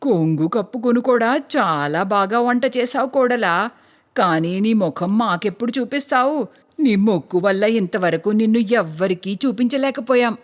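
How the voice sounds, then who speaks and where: A woman's voice: fairly high pitch, lively intonation, moderate pace, voice pushed down, close to an everyday voice. A woman voicing a stern, bossy older mother-in-law in a cartoon story. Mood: commanding. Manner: scolding, commanding.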